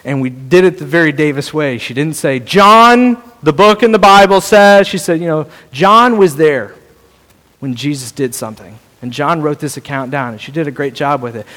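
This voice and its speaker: Lively high-voiced preacher in a hall. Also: male voice, normal speed. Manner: animated, acts out playful voices. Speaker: pastor. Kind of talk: sermon. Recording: long hall reverb.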